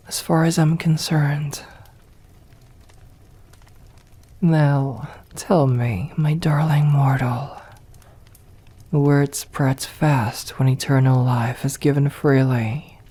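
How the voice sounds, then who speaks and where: A woman voicing a haughty, seductive, possessive vampire mistress in an ASMR roleplay, speaking softly in formal, condescending phrases, often close to a whisper. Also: rumbling low, fairly even intonation, heavily acted. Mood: cool, intrigued. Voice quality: breathy, soft, often whispered. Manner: slow, deliberate, condescending, formal old-fashioned diction. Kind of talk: ASMR roleplay, scripted acting.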